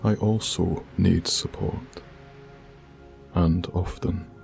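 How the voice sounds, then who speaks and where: A man with a low, mellow, hushed voice guides a meditation in ASMR style. He speaks extremely slowly and soothingly, leaving long pauses between short phrases. His soft delivery is unhurried and deliberate throughout. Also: steady tone, creaky. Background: music with binaural beats.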